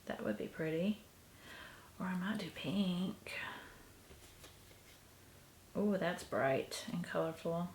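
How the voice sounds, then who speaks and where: A sniffly woman with a low, gravelly voice muses softly as she works, her words often drifting down to a half-whisper. The sound is echoey and roomy. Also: takes it slowly, long pauses, a little hiss or hum. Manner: soft, musing, often trailing into a half-whisper. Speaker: crafter showing a stitching project. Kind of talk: casual crafting tutorial. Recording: echoey room recording.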